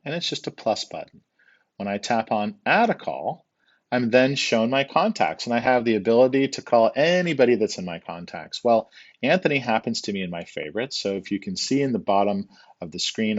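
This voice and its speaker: Low-voiced man teaching, slightly muffled. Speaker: software tutorial instructor. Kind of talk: step-by-step spoken tutorial, informal.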